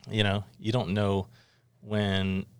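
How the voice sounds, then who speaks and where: A man's voice: lowish voice, monotone, slow pace, clean tone, no room sound. A middle-aged man hosting a casual interview podcast in an office studio, rambling a little with lots of fillers. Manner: rambling, friendly, many fillers. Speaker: podcast host.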